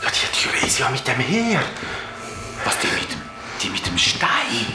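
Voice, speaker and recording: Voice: mid-range voice, wildly swooping pitch, unhurried. An actor voicing a timid young companion who is easily startled, speaking Swiss German in a nervous whisper. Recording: echoey with loud music.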